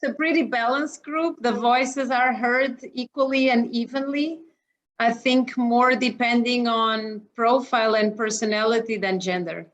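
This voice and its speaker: A woman's voice: fairly high pitch, steady tone, a little slow. A woman with a Portuguese accent, a guest talking casually from her home office on a video call, hesitant with many fillers. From Mozambique. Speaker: interview guest, operations executive. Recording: muffled lo-fi video call audio.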